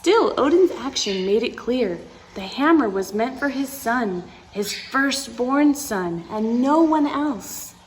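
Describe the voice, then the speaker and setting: A woman's voice: a little high, animated, slow pace, few pauses, a little hiss or hum. A woman reading a picture book aloud to children, with playful asides and dramatic emphasis, speaking straight to her young listeners.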